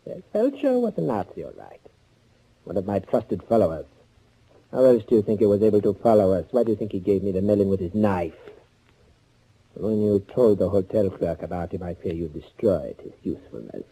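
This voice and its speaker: An actor playing a smug, sadistic Nazi fugitive who can turn to pleading, in theatrical 1940s radio thriller style with mockingly courteous menace. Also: male voice, lowish voice, very animated, brisk, warm tone, breathy edge, exaggerated voice. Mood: mocking, sinister. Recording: muffled 1940s radio recording.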